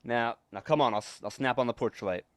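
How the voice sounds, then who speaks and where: A man's voice: medium pitch, a little fast, no room sound, natural voice. Man playing a shifty, stammering husband, close dry sound. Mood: coaxing, uneasy. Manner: stammering, evasive, repeats words. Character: shifty, nervous husband hiding a dark secret, menacing. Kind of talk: 1940s radio suspense acting.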